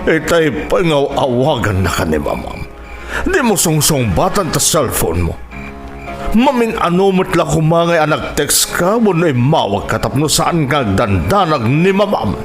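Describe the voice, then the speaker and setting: A scolding, exasperated Ilocano father in a radio drama. His voice is a little high and swings very widely in pitch, with music clearly underneath. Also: wildly swooping pitch, unhurried. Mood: stern scolding.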